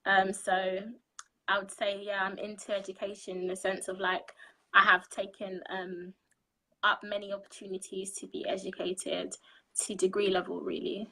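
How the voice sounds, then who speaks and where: A woman, answering interview questions over a video call in a measured way, with frequent ums. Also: medium pitch, little pitch movement, bright, forceful tone, low-quality recording. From London, UK. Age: 20s. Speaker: interview guest. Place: indoor room.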